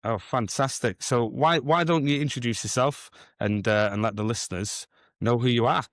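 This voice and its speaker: A man's voice: mid-range voice, normal speed, no echo, studio quality. Hesitant man co-hosting a podcast. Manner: hesitant, repeats words. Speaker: podcast co-host. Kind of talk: casual podcast chat.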